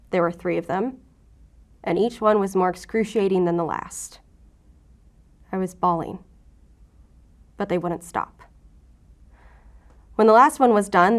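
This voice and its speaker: A woman's voice: medium pitch, natural voice. Woman acting a guarded, sardonic federal agent. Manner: sardonic, defiant. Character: wounded, guarded female FBI agent recounting trauma. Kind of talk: audio drama acting, confessional.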